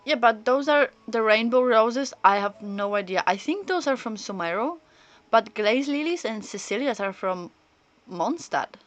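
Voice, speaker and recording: A woman's voice: fairly high pitch, expressive, moderate pace, no room sound. Woman streamer chatting, close dry sound. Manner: rambling, thinking aloud. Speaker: video game livestreamer. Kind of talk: casual chat and reactions while playing.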